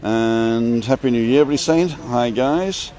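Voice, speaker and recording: A man's voice: medium pitch, moderate pace, warm tone. A man from England hosting a live street vlog, chatting cheerfully with passers-by and echoing their answers. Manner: chatty and upbeat, greets strangers and repeats their answers. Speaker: live-streaming vlogger stopping passers-by. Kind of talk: casual street interviews on a livestream. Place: outdoors on a seafront street at dusk.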